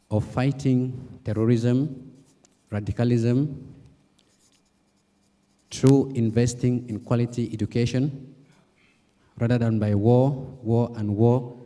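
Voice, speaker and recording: A man's voice: medium pitch, warm tone. A man from Cameroon, asking a lecturer a formal question from the audience in an earnest, courteous manner. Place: lecture hall. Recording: long reverb of a large hall.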